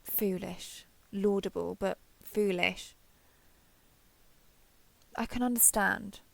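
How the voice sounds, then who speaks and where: A woman's voice: mid-range voice, fairly even intonation, slow pace, gravelly, no echo. A woman voicing a proud, ancient female dragon in a fantasy roleplay audio, with formal, haughty, archaic phrasing. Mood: thoughtful.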